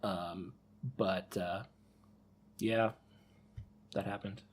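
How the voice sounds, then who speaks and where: A man's voice: deep voice, fairly even intonation, extremely slow. A man co-hosting a podcast, talking casually and giving a prepared review with playful asides. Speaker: podcast co-host.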